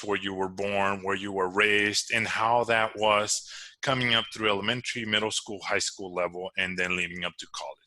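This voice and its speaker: A man's voice: on the low side, pushed and bright, dry recording. A man hosting an interview podcast, talking in a loose conversational way with fillers and restarts. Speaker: podcast host.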